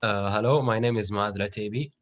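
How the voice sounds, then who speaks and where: A man from Saudi Arabia with a very deep voice introduces himself politely on a video call. Faint background noise runs under his speech. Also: fairly even intonation, a little fast. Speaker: incoming student.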